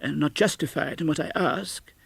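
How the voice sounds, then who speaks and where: A man's voice: a little high, normal speed, gravelly, slightly rough, no room sound, pitched above the performer's own voice. Man acting a timid, stammering old miser. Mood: fearful, hesitant. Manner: hesitant, stammering. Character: timid, humbled old miser, fearful and hesitant. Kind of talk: classic storybook audiobook character voice.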